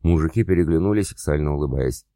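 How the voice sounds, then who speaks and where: A man reading a novel aloud as a steady audiobook narrator, voicing the characters with little change. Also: a bass voice, fast, no echo, clean studio sound. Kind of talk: scripted narration.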